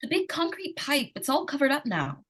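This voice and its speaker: A woman's voice: high-pitched, wildly swooping pitch, moderate pace, a bit bright, a little hiss or hum, full character voice. A woman playing a desperate, insistent young girl in an old-time radio suspense drama, urgent and pleading. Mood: eager, insistent. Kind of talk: 1940s radio suspense acting.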